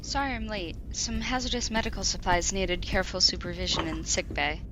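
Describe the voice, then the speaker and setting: A woman acting a ship's doctor in a sci-fi radio play. She sounds businesslike at a medium pitch, with clear ambient noise around her. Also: steady tone, brisk, natural voice. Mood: apologetic, businesslike. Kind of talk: science-fiction audio drama acting. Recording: clearly noisy ambient background.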